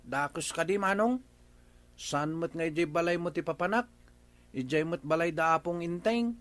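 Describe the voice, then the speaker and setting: Cheeky young rural lad played by an actor, very high male voice, defiant, Ilocano accent. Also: normal speed, fairly bright tone, close to an everyday voice. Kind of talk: Ilocano radio comedy drama acting.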